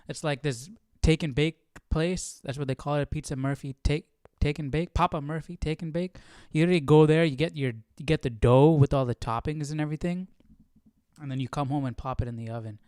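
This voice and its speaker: Man, casual livestream host, rambling delivery, mid-pitched, smooth resonant voice, very dry sound. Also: a little mellow, no echo. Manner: rambling, thinking aloud. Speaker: livestream host of a Bible study series.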